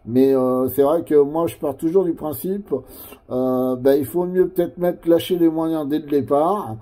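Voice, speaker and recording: A man's voice: a little high, measured pace, warm tone. A man with a French accent chatting casually over amateur radio, explaining things in a rambling, hesitant way. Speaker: amateur CB radio operator who makes videos.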